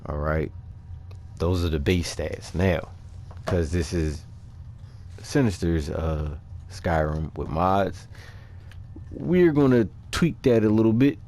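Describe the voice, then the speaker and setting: A man with a low-pitched voice presents a gaming video as its host. He speaks informally and conversationally, as if to regular viewers. His delivery is a little hesitant as he casually explains things over game footage. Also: animated, slow pace, long pauses, dark tone, a little hiss or hum. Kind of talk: casual game build commentary. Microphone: voice-over on a microphone over game capture.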